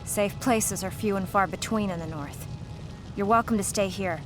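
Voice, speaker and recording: A woman acts as a guarded soldier in command. She speaks firmly and tersely at a medium pitch, with loud fantasy game music behind her. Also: moderate pace, lightly acted, low-quality recording. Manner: terse, firm. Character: guarded, firm female human soldier in command. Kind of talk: video game cutscene acting.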